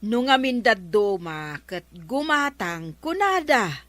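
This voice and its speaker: Brief: actress as fed-up village councilor, slowish, highly animated delivery, exasperated, comedy drama. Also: female voice, no echo, full character voice.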